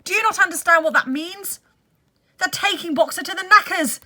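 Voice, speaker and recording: A woman voicing an old, normally cynical male donkey, shouting in alarm in a storybook reading. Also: extremely high pitch, normal speed, voice pushed up, full character voice. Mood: frantic alarm.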